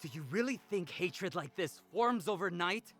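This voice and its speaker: A man's voice: high-pitched, animated, normal speed, a bit bright, soft background music. A man playing a cold, imperious young man, haughty and theatrical in his contempt, in a visual novel. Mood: gloating, bitter.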